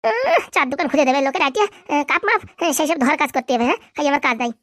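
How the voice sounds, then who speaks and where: Woman as a high-pitched, cheeky cartoon son. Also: very animated, rapid-fire, a bit bright, no room sound, clean studio sound. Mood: whiny, sulky. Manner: insolent, whiny back-talk. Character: cheeky, defiant, lazy game-obsessed young son. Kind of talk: comic cartoon dialogue.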